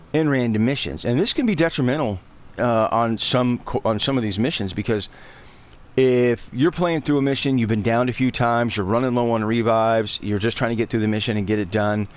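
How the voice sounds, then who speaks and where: A man's voice: medium pitch, slight background noise. A man with a stuffy voice from allergies, talking through a game guide in a casual, rambling way that is full of fillers and self-corrections. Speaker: gaming guide creator. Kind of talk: casual game tutorial narration.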